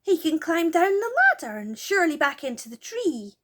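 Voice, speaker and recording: A woman's voice: very high voice, very animated, normal speed, a bit bright, pitched above the performer's own voice, full character voice. A woman voicing an earnest, astonished child in a children's storybook, exclaiming with emphasis. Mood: alarmed, questioning. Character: astonished, earnest children exploring an enchanted wood.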